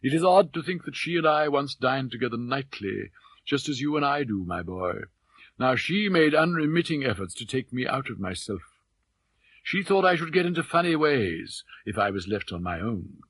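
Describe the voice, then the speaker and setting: A man voicing an eccentric elderly father in an audiobook, mild, plaintive and gently mocking, with British Received Pronunciation. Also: mid-range voice, very animated, normal speed, breathy edge, dry recording, heavily acted. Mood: slyly menacing.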